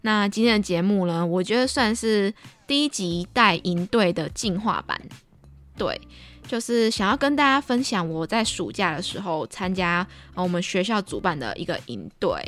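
A woman's voice: medium pitch, lively intonation, normal speed, fairly bright tone. Young Taiwanese woman, chatty podcast host over music. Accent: Taiwanese Mandarin. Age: young adult. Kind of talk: casual chat. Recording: background music behind the voice.

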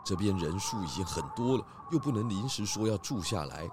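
A man playing a calm, shrewd pig police chief who asks measured, probing questions in a children's audio drama. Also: lowish voice, noisy background, lightly acted.

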